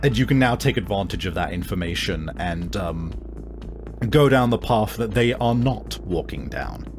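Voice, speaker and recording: A man improvising narration as game master of a tabletop game, in a halting, conversational way. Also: on the low side, animated. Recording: music behind the voice. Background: music.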